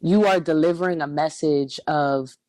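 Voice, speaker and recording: A young woman in her twenties from Camden, New Jersey hosts an interview, warmly summing up and affirming what her guest has been through. Her voice sounds dry, with almost no room echo, and she sits on a sofa in a small indoor studio. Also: low voice, normal speed. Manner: affirming, reflective, sums up the guest's story. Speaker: interview host. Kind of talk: conversational interview hosting.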